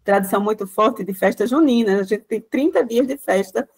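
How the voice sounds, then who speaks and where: A woman's voice: medium pitch, fairly quick, a little mellow, slightly rough. A woman with a Pernambuco Brazilian Portuguese accent gives an informal lecture, chatty and conversational, full of tag questions and self-corrections. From Caruaru, Pernambuco, Brazil. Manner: chatty, many "né" tags and restarts.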